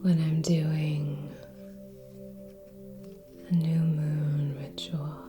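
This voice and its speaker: A woman speaking soft, breathy ASMR in a near-whisper, gently hosting with long pauses between short phrases. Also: sits low, little pitch movement. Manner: slow, gentle, hushed delivery with long pauses. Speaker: ASMR channel host. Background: relaxing music with singing bowl tones.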